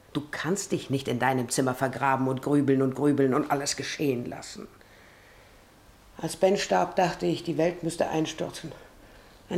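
A middle-aged wife in a radio play, with a fairly low voice. She is practical and long-suffering, and she presses her reproaches with pleading insistence. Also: vocal fry, a little mellow. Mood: reproachful. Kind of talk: German radio drama acting.

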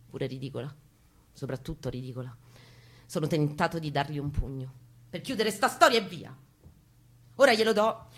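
A woman's voice: sits low, fairly bright tone. A woman with a Roman accent performing an intense, colloquial spoken-word reading. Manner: intense, colloquial, profane delivery. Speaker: performer reading her piece aloud. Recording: live guitar under the voice. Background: acoustic guitar music.

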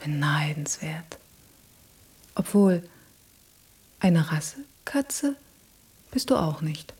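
A woman's voice: low voice, very animated, extremely slow, a little mellow, breathy edge, full character voice. An actress playing a flirtatious, self-assured female cat in a radio play, coaxing and often dropping to a murmur. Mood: teasing. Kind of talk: German radio play acting.

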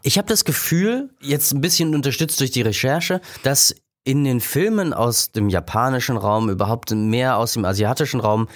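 A man's voice: medium pitch, normal speed, few pauses. A man co-hosting a podcast, chatting casually and thoughtfully about films. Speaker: podcast co-host. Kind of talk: casual film discussion.

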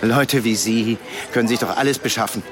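A man's voice: medium pitch, fairly quick, music in the background, lightly acted. A man acting as a grieving middle-aged father in a radio drama, formal and pointed, bitter and determined. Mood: bitter, challenging.

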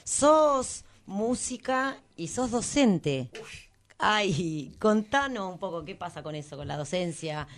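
A woman's voice: mid-range voice, lively intonation, slow pace. A woman with an Argentine accent chatting casually as a radio co-host interviewing a guest. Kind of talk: casual chat.

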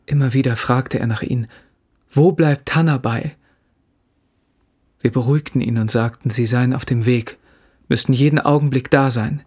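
A man acting a young grieving son in a radio drama. He speaks at a medium pitch, with formal respect and measured restraint. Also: a little mellow, slightly rough, close to an everyday voice. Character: bereaved son, respectfully relaying his father's last words. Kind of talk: German radio play acting.

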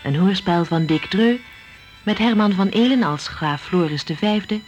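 A woman's voice: medium pitch, expressive, unhurried, darkish tone, lo-fi sound. A woman reading scripted radio drama narration and credits as announcer. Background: music.

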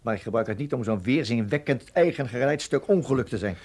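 A man playing a blunt, irritable army colonel in a radio drama, gruff and grumbling. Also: medium pitch, expressive, fast, a little mellow. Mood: irritated, grumbling. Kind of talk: Dutch radio drama acting.

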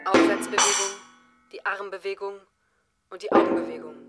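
Female radio-play narrator, played by an actress, medium pitch, incantatory, rhythmic list recitation, strong music behind. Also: steady tone, moderate pace, lightly acted. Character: impersonal narrator reciting endless lists of compound words. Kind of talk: scripted recitation of word lists in an experimental radio play.

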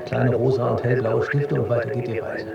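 An actor in a German radio drama playing an anxious, stubborn hypochondriac who broods and justifies himself in a naturalistic way. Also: male voice, medium pitch, fairly even intonation, fairly quick, vocal fry, highs cut off, over loud music, lightly acted. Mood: brooding. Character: anxious, stubborn male hypochondriac, technical editor.

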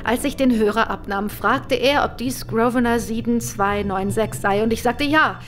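A woman's voice: fairly high pitch, normal speed, lightly acted. A woman playing a frightened stammering young woman. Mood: shaken. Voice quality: breathless, stammering. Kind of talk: radio drama acting.

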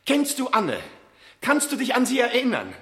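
An insistent, emotional father, bright-voiced. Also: very high voice, wildly swooping pitch, normal speed, heavily acted. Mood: pleading. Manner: pleading, emphatic. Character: insistent, emotional father claiming his lost son. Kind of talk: German radio play acting.

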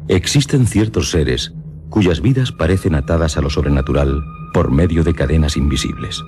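Male narrator, low pitch, lively intonation, solemn storytelling, scripted radio read. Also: normal speed. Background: music behind the voice.

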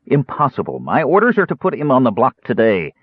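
A man's voice: medium pitch, wildly swooping pitch, a little fast, slightly rough, no echo, phone-quality sound, pitched above the performer's own voice. A man voicing a grim, sarcastic tough in an audiobook, curt, impatient and growling. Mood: impatient, ominous.

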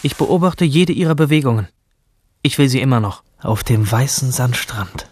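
A man's voice: medium pitch, lively intonation, normal speed, a little mellow, breathy edge, no room sound. An actor playing an obsessive, rebellious male hacker from a virtual future world, narrating a radio drama in the first person. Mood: fixated, secretive. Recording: music under the voice.

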